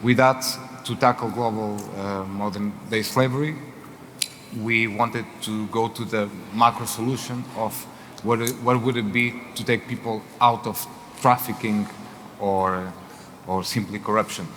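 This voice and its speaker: A man from Portugal with a Portuguese accent, moderating a fireside chat on stage and speaking haltingly with many fillers. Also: fairly low pitch, fairly even intonation, fairly bright tone. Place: event hall. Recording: reverberant hall with faint noise.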